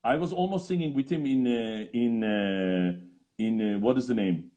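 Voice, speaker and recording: A man with a Turkish accent hosting a casual interview in an effusive, halting way, often repeating his phrases. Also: medium pitch, dark tone. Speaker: interview host, opera singer. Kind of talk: casual video chat interview.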